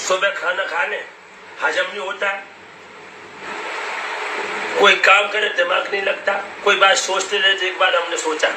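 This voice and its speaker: An elderly man, a religious storyteller, answering interview questions informally in a rambling way that repeats phrases. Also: high-pitched. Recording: noisy echoey call recording, distant voice.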